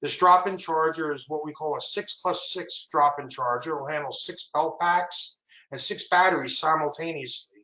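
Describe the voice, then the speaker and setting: A man's voice: a little high, normal speed, reverberant, rough recording. A man giving a product presentation, walking through slides and asking for the next one. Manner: steady explanatory walkthrough, asks for the next slide.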